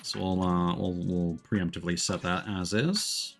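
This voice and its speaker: A man's voice: low voice, fairly even intonation, measured pace, gravelly. A man giving casual live gameplay commentary as a streamer, musing to himself and talking to the game. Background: game music.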